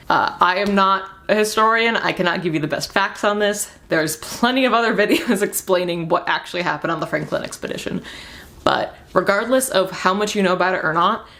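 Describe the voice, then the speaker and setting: A woman's voice: fairly low pitch, gravelly. A young woman giving a casual book review as a vlogger, speaking in an informal, rambling and emphatic way.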